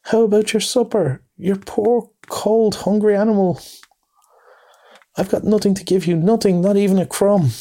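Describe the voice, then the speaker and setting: A man's voice: high voice, fairly even intonation, normal speed, dark tone, voice pushed up. A man reading aloud voices a timid, gloomy male mole who moans in a plaintive, self-pitying way. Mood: moaning. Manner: dismal, moaning, plaintive. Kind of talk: storybook reading.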